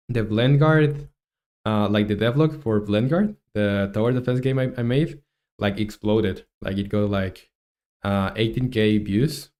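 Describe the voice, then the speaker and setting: A man's voice: on the low side, darkish tone. A young man from Chile in his twenties, chatting casually while he works on a game and reads chat aloud, with lots of fillers and restarts. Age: 20s. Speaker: game dev streamer.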